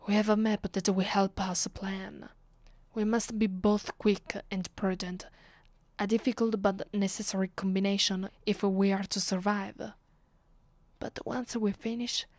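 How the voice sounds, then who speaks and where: A woman with an Italian accent playing a commanding, vengeful vampire in an ASMR roleplay, her voice soft and breathy, often sinking to a whisper. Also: mid-range voice, no room sound, natural voice. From Italy. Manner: slow, deliberate, imperious. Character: commanding, vengeful female vampire, secretly affectionate. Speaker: ASMR voice actress.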